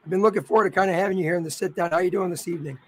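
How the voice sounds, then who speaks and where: A man with a raspy voice hosting a live sports show, talking upbeat and straight to his viewers. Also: high-pitched, racing, fairly bright tone. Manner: upbeat and promotional, addressing viewers directly. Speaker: host.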